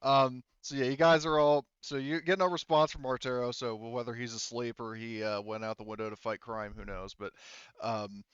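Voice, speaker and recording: A man narrating casually as game master of a tabletop roleplaying game, in a halting, conversational way. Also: mid-range voice, level tone, a bit bright, clean tone, no room sound, muffled recording.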